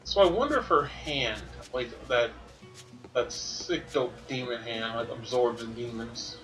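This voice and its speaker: Male Let's Play streamer, bright forward voice, casual, thinking aloud, faint music underneath. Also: medium pitch, unhurried, low-quality recording. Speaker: gaming streamer. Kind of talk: casual Let's Play commentary, sometimes reading game text.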